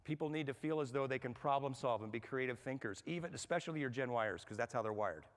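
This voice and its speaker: A man giving a lively workshop talk to a live audience, asking them questions and talking in a conversational way. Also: mid-range voice, quick pace. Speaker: speaker at a management workshop. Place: large hall with an audience. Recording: long hall reverb.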